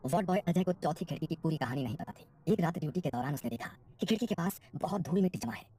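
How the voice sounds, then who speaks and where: Very low-voiced woman narrating horror. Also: expressive, quick pace, mellow, slightly rough. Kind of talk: scripted horror story narration.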